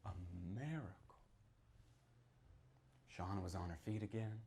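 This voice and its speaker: A man giving a dramatic reading as a sinister small-town storyteller, swinging from hushed whispers to shouted outbursts. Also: on the low side, lively intonation, unhurried. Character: sinister small-town local man recounting a grim tale. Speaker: author performing a reading from a novel. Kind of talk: theatrical first-person horror storytelling to an audience.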